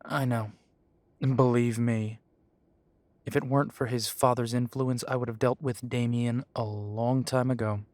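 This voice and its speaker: A man performs a young half-breed werewolf for an audio drama. His voice sits at a middle pitch, unhurried and recorded very dry. He sounds guarded and blunt, with a hesitant, self-doubting defensiveness. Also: steady tone, a little mellow, dry recording, close to an everyday voice. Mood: uneasy, frustrated. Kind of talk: scripted roleplay audio drama.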